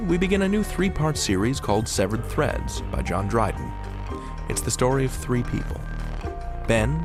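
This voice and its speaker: A man hosting a podcast, giving a deliberate, scripted introduction to an audio drama. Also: medium pitch. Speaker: podcast host.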